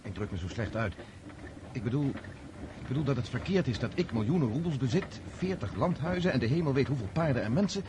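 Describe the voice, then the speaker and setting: An actor playing a kind-hearted, awkward young Russian count in a radio play, halting and self-correcting. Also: male voice, medium pitch, expressive, natural voice. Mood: earnest, troubled. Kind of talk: radio drama acting. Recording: noisy old radio play recording.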